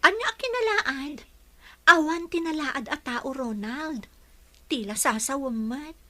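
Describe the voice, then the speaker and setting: Woman playing earnest young daughter, high bright voice. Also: expressive, measured pace, full character voice. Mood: concerned, earnest. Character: earnest young daughter devoted to her boyfriend. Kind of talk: Ilocano radio drama acting.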